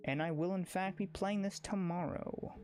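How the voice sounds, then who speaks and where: A game streamer chatting casually on a livestream, informal and excitable, rambling along into a close microphone. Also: mid-range voice, moderate pace. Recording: music under the voice. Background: music.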